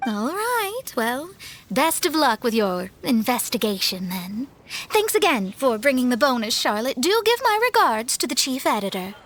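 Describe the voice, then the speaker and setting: A woman voicing a proud young female astrologer with a self-important, formal, precise manner in an animated game. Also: high-pitched, moderate pace, exaggerated voice. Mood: polite.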